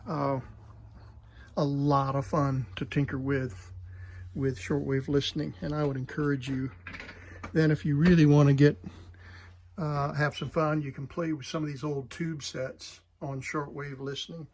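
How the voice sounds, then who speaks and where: A man giving a casual, halting hands-on demonstration as a hobbyist host, thinking aloud in an unhurried way. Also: on the high side. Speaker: vintage radio hobbyist and video host. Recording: loud background noise and ambience.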